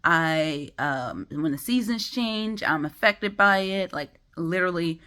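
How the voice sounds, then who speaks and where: A woman's voice: on the low side, lively intonation, a little slow, bright, forceful tone. A woman with a congested, sniffly voice talking casually to camera at home, rambling with many ums. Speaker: vlogger. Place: home, just woke up.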